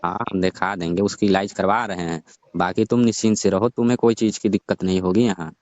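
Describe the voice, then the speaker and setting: A man's voice: fairly low pitch, brisk, a little mellow, dry recording, natural voice. A man acting a placating, pride-bound middle-aged father-in-law in a staged phone-call drama, speaking colloquially in a coaxing, reassuring way. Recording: dull, lo-fi dry call audio.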